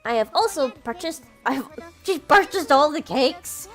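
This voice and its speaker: A grand young lady of a little sister acted out by a woman, high-voiced and self-assured. She declares things formally, as a game character, with faint music behind. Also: expressive, moderate pace. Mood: grandly announcing. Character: grand, doting rich little sister of the bakery owner.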